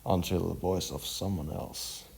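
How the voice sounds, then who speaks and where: A man narrating a scripted story in a halting way, stumbling over words. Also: sits low, fairly even intonation, vocal fry, darkish tone, a little hiss or hum.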